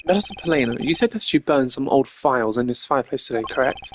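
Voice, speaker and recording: A performer voicing a composed, stern male prosecutor in a video game, speaking in a measured, formal, analytical way. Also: medium pitch, expressive, a little hiss or hum, lightly acted. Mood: stern, probing. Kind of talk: video game character voice-over.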